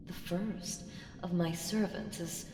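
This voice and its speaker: A woman's voice: lowish voice, fairly even intonation, slow pace, warm tone. A woman voicing an ancient evil entity in an ASMR roleplay, seductive, sly and taunting, with ominous teasing and whispered phrases. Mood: coaxing, ominous. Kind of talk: ASMR roleplay audio drama. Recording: reverberant hall effect, faint noise.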